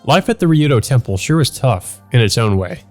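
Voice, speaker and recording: A man's voice: medium pitch, sing-song, fairly quick, darkish tone. A man narrating a visual novel playthrough, reading the text aloud and adding casual commentary. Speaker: let's play narrator. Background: background music.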